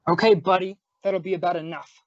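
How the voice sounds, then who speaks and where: A tough, wry policeman of old radio mysteries, acted out by a man. He talks in clipped, rapid bursts. The recording is dry and a little muffled. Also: high-pitched, fairly even intonation, no echo, close to an everyday voice. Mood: firm, threatening. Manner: clipped tough-guy talk. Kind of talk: old-time radio detective drama acting.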